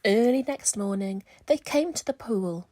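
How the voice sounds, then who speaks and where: A woman's voice: medium pitch, lively intonation, unhurried, dark tone, dry recording. A woman reading a rhyming children's story aloud as scripted narration. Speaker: storybook reader.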